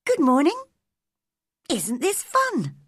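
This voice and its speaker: Storybook robin voiced by a female narrator: small, high, cheerful, friendly, inviting, recorded dry. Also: wildly swooping pitch, takes it slowly, no echo, full character voice.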